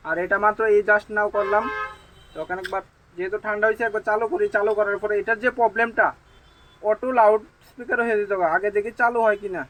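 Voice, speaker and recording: A man's voice: high-pitched, little pitch movement, fast, a bit bright, slight background noise, low-quality recording. A man with a Tripura Bengali accent giving an informal phone repair demonstration as a technician, with repetitive, emphatic assurances.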